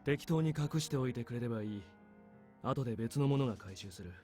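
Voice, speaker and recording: A male actor as a calculating military major in a visual novel, calm and dryly authoritative. His voice is soft-edged and mellow, yet it moves along briskly. Faint music sits behind him. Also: medium pitch, close to an everyday voice. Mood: matter-of-fact.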